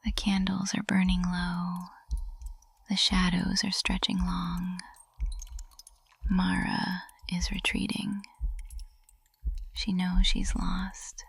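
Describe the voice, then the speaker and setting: Witch teacher played by a woman, ASMR roleplay: adoring and possessive, hushed breathy whisper with heavy crackle, unhurried, intimate. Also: lowish voice, monotone, no room sound, heavily acted. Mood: soft, reassuring. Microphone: close ASMR microphone.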